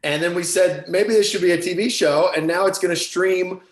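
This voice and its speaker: Male series creator, casual interview, raised pitch, roomy echo. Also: steady tone, moderate pace. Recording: echoey room.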